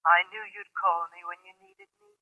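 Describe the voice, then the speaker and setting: A woman's voice: lowish voice, fairly even intonation, moderate pace, no echo. A woman playing the tender, eerily sweet ghost of a dead beloved in a 1940s radio suspense drama. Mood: tender, eerie. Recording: tinny telephone voice on vintage radio.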